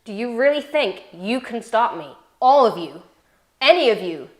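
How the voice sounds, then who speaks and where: A woman's voice: on the high side, very animated, normal speed. Give me a domineering, scornful businesswoman, echoey room. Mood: defiant. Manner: commanding, scornful, theatrical. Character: domineering, defiant female corporate shareholder shaking up the board. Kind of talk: table read of 1980s boardroom drama. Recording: roomy, echoey indoor sound.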